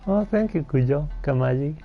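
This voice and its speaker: A game player casually reacting and commenting while following a story, in an unhurried way. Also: medium pitch, lively intonation, phone-quality sound, faint music behind.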